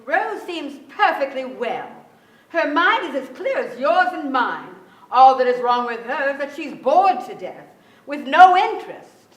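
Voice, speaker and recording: An older actress playing a brisk, plainspoken female relative in an emphatic staged reading. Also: high-pitched, very animated. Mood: insistent. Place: theatre stage before an audience. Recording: echoey theatre stage.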